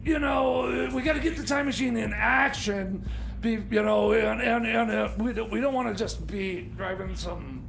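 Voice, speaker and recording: Man doing a high, creaky, flustered tinkerer. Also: a bit bright, exaggerated voice. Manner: stammering, rambling, halting. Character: flustered, bumbling tinkerer fixing a time machine. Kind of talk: improvised comedy radio theater.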